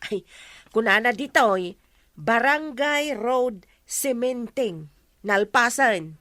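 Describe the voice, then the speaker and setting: A woman's voice: on the high side, animated, takes it slowly, bright, forceful tone, no room sound, studio quality, heavily acted. A woman playing an eager, gossipy village woman in a comedy radio drama, chatty and exclamatory.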